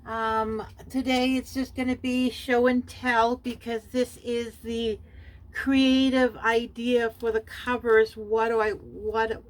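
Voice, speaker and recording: Unhurried middle-aged woman, German first language, raised in Canada; hesitant crafting vlogger. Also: fairly high pitch, little pitch movement. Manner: hesitant, many fillers and self-corrections. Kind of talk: casual show-and-tell.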